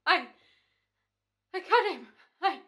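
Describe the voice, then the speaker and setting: A woman's voice: very high voice, animated, slow pace, a bit bright, full character voice. A woman playing a formal, courteous and menacing doctor in an old-time radio drama. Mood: panicked. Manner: courteous, precise, ominous. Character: smooth, menacing, formal doctor turned murderer in radio rehearsal.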